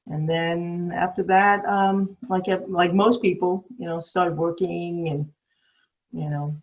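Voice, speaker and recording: A woman's voice: fairly low pitch, steady tone, slow, highs cut off. Rambling older New York woman. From New York City. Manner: rambling, many ums and restarts. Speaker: guest swimmer. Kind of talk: casual storytelling on a call. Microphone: video call.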